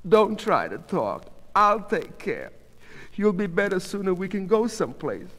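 An older wife, acted out by a male story reader, fretful and pleading, her pitch pushed high and swinging. She fusses in short exclamations that ring in a reverberant hall. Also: sing-song, a little slow, a bit bright, full character voice. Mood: fussing, soothing. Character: excitable, anxious older wife, fretting and fussing.

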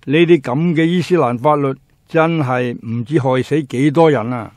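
A man's voice: medium pitch, normal speed, darkish tone, no echo, studio quality, natural voice. An actor playing a gossipy, exclamatory male teahouse customer with a Hong Kong accent in a radio drama. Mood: outraged. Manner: exclamatory, goading.

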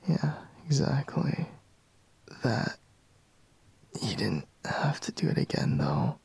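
A man playing a sleepy, grumbling boyfriend in an intimate ASMR roleplay, murmuring in a breathy, husky half-whisper close to the microphone. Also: on the low side, darkish tone, no echo, exaggerated voice. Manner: drowsy, drawling, murmuring with sighs. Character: sleepy, grumbling, teasing young boyfriend woken in bed. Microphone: close microphone, dry.

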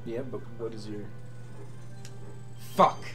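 A man's voice: lowish voice, fairly even intonation, slow, a bit bright, faint music behind. A young man teasing his friends and swearing casually while playing a party video game. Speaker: gamer. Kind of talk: casual gameplay chat.

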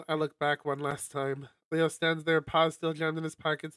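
A streamer reading a visual novel aloud as a soft-hearted, hesitant young man telling his story wistfully. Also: medium pitch, dry recording, far from the mic, lightly acted. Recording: music under the voice.

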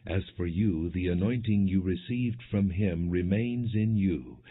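A man narrating an audio Bible in a measured, solemn reading with deliberate phrasing. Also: sits low, husky. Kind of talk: non-dramatized Bible reading. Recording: muffled, phone-like narrow-band audio.

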